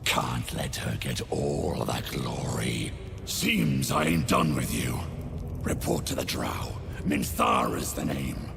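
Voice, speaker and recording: Very deep, gravelly hobgoblin warlord. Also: male voice, big pitch swings, slow pace, over music, heavily acted. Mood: grudging. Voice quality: gravelly growl. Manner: bellowing incantations, rough blunt speech. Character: brutish male hobgoblin war leader, commanding and impatient. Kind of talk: video game character acting.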